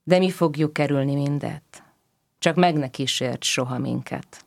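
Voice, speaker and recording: A reciter delivering religious verse in a solemn, measured declamation. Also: mid-range voice, creaky, no room sound. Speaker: poetry reciter.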